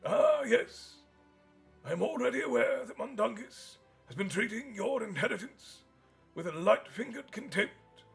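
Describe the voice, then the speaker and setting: A man voicing a wise, elderly male headmaster who speaks in a measured, courteous way, high above his own voice, with soft music behind. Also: very animated, creaky, exaggerated voice. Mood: knowing.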